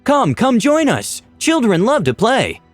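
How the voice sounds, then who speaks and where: A man's voice: very high voice, huge pitch swings, measured pace, no echo, soft background music, pitched above the performer's own voice, heavily acted. A man voicing a deranged, childishly gleeful necromancer in a creepy, drawling fantasy story voice. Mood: manic glee. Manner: faking a child's voice when calling out, creepy and drawling.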